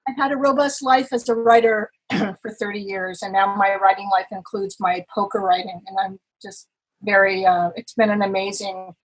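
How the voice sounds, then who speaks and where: A woman's voice: medium pitch, normal speed, breathy edge, rough recording. A woman in her sixties from Los Angeles, talking chattily as a guest over a video call. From Los Angeles, California. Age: 60s. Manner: chatty, animated, self-deprecating. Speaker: podcast guest, writer and poker player.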